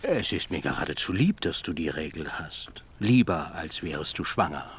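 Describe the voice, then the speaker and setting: An actor playing a gruff, scornful old father in a literary radio drama, blunt and dismissive. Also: animated, normal speed, a bit bright, slight background noise. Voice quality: deep. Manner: blunt, dismissive, swinging intonation.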